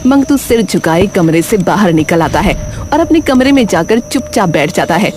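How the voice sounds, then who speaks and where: A woman's voice: medium pitch, animated, normal speed. A woman narrating a scripted dramatic story as its storyteller.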